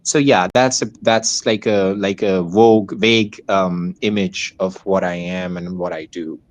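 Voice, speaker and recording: A man's voice: low-pitched, steady tone, measured pace, lo-fi sound. A nineteen-year-old man with a Pakistani accent, chatting as an interview guest and rephrasing as he goes. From Hyderabad, Pakistan. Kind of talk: casual interview answers.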